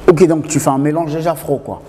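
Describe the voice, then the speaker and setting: Guy hosting a tv interview, soft mellow voice, lots of reverb. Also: on the high side, fairly even intonation, fast, slight background noise. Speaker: TV talk show host. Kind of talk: conversational interview. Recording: reverberant hall sound.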